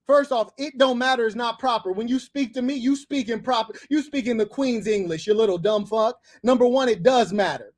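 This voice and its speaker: A man's voice: very high voice, brisk, hardly any pauses. A man from Los Angeles hosting a livestream, insulting and cursing at a caller in a heated, domineering way. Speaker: livestream host. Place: abroad on livestream.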